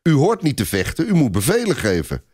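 A man's voice: medium pitch, wildly swooping pitch, a little fast, darkish tone, slightly rough, pitched above the performer's own voice. Man voicing a careful, earnest medieval squire. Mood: cautious, urgent. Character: cautious, honest squire, awed by his fierce lord.

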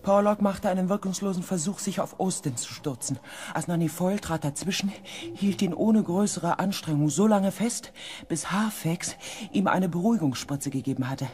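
A man as a composed narrator of a science-fiction audio drama. His voice is notably high for a man, and he delivers scripted narration factually. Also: moderate pace, darkish tone, close to an everyday voice. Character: composed male storyteller of a science-fiction tale.

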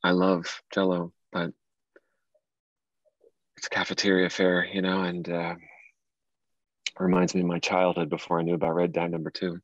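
A low male voice, close and dry, an actor as an earnest man in his late thirties carrying grief in a stage drama. He talks conversationally, and the sound is a little dull. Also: completely flat delivery, normal speed, long pauses, no room sound, natural voice. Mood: wistful. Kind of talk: table read of a dramatic script.

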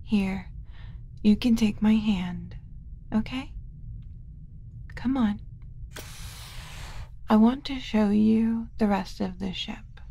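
A woman voicing a gentle, doting alien who coaxes a human like a small child, in a soft ASMR roleplay with frequent whispering. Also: mid-range voice, vocal fry, husky, dull audio, heavily acted. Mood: tender, curious. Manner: coaxing, slow, motherly, talking as if to a small child.

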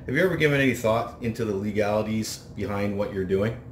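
A man's voice: lowish voice, fast. A man with a Canadian accent reading formal, prepared interview questions. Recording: roomy echo, faint noise.

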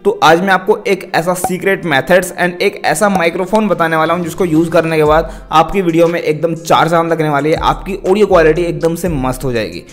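A man's voice: a little high, a little fast, few pauses. A young adult man gives instructional advice straight to viewers in a vlog style. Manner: instructional, addresses viewers repeatedly. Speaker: tech video creator and host. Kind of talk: direct-to-camera product advice vlog. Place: small decorated indoor room. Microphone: wired lavalier held in hand. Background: background music.

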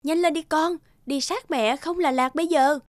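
Cartoon mum played by a female actor, caring yet gently firm, soft music behind. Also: high voice, moderate pace, close to an everyday voice. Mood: urging. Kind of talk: children's cartoon dialogue.

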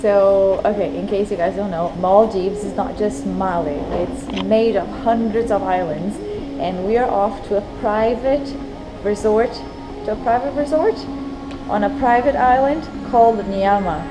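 A woman in her thirties filming a bubbly, excitable travel vlog on a handheld camera, laughing and exclaiming as she goes. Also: medium pitch, a little slow, over music, poor audio. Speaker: vlogger. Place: outdoors and in resort rooms, on the move. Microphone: handheld action camera.

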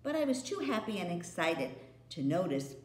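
Woman reading a bright, earnest storybook girl, eager and raised, roomy. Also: on the low side, normal speed. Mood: excited. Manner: excited, breathless telling. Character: excited kind little girl, bright and earnest. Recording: roomy echo.

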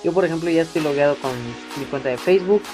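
Young dude w a kinda high voice, casual halting screen tutorial, making it up as he goes, music pretty loud behind. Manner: informal, hesitant, improvised explaining. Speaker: tutorial video maker. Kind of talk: casual phone app tutorial commentary. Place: voice-over to a phone screen recording. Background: prominent background music.

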